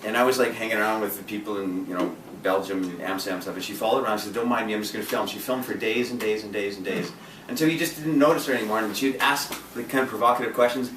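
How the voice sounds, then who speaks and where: A man's voice: lowish voice, racing. A man talking in a casual group chat as a dating coach, intense and direct, with lots of restarts. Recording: echoey room from a distance, faint noise.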